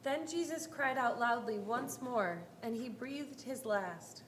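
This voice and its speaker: A woman narrating a scripted dramatic Bible reading, telling the story in a deliberate, expressive way. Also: on the high side, a little hiss or hum. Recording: roomy live hall, faint music.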